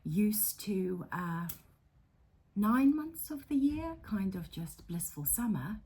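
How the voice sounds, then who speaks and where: A middle-aged South African woman hosting a casual vlog, chatty and self-deprecating, dropping into soft whispery asides. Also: mid-range voice, very animated, slow, husky. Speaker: psychotherapist and channel host. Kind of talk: casual talking-to-camera vlog. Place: her counselling room.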